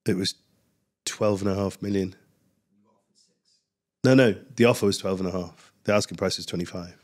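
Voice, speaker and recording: A man co-hosting a podcast in casual chat, stuffy from a cold, joking and quick-witted. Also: low-pitched, darkish tone. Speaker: podcast co-host.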